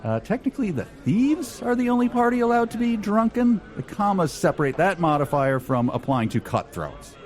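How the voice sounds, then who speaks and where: A man's voice: high-pitched, big pitch swings, normal speed, few pauses, pitched above the performer's own voice. A man voicing a fussy, rule-bound tavern attendant in a comedy sketch, officious, pedantic and mock-polite. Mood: pedantic, firm.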